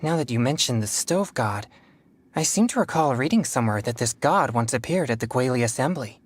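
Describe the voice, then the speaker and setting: A man's voice: mid-range voice, lively intonation, brisk, husky. A man voicing a polite, earnest young exorcist in a game cutscene. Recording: game cutscene with faint music.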